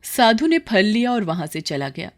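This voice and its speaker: A woman's voice: mid-range voice, very animated, normal speed. A woman storyteller reading scripted dramatic narration for a moral story.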